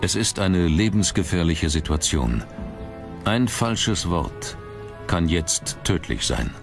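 Low male narrator doing a history doc, measured and dramatic, music under him. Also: animated, vocal fry. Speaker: documentary narrator. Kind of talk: scripted narration. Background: background music.

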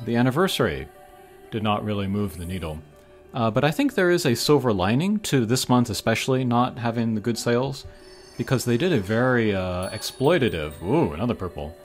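A man hosting a gaming channel, giving casual, hesitant commentary as he thinks aloud over mobile game pulls. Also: lowish voice. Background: game music.

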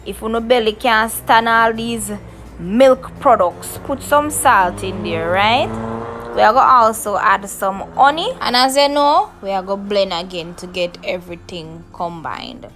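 A woman's voice: medium pitch, lively intonation, unhurried, fairly bright tone, a little hiss or hum. A woman hosting a cooking tutorial, talking viewers through each step and often checking in with "okay, guys" and "right?". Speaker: cooking show host.

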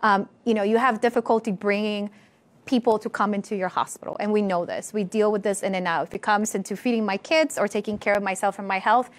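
A woman's voice: mid-range voice, steady tone, fast talker. A young adult woman moderates a studio panel in a mid-range voice. She puts her questions directly and conversationally. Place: studio stage with curtain backdrop.

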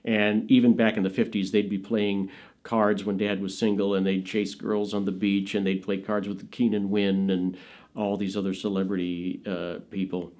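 Man, fifties, American accent, fairly low pitch, conversational storytelling, interview setting. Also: level tone, normal speed, darkish tone. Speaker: interviewee, actor and filmmaker recalling his father. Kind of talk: casual anecdotal storytelling in an interview. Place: small indoor studio with dark curtains.

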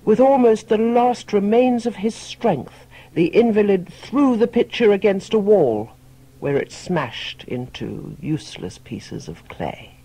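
A man's voice: high voice, expressive, slow pace, gravelly, warm tone, husky, low-quality recording. A man reading a short fable as a storyteller, in scripted audiobook narration.

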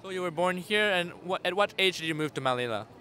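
A man asks polite questions off camera in a street interview, with a fairly high, bright voice. Faint street noise sits behind him on a busy night-time street. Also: lively intonation, fast talker.

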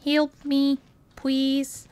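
A woman's voice: high voice, monotone, very slow, a little mellow. A woman playing a video game and reading its dialogue aloud as a let's play host. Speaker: gamer playing on a video series.

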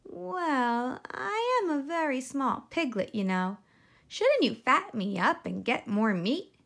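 A woman's voice: high voice, big pitch swings, takes it slowly, vocal fry, a bit bright, pitched above the performer's own voice, heavily acted. A woman voicing a small, sly male piglet in a children's storybook, sighing and coaxing with mock innocence. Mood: wheedling. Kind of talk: children's storybook read-aloud.

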